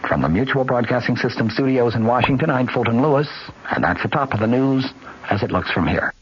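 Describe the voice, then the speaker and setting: A man's voice: medium pitch, expressive, a little fast, breathy edge. An American man reading scripted news as a radio anchor. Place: radio studio. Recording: noisy dull 1970s radio broadcast.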